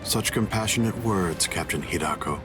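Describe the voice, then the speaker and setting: A man voicing a calm, arrogant villain in an anime game dub, composed and condescending. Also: low voice, moderate pace, close to an everyday voice. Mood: coldly mocking. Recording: music behind the voice.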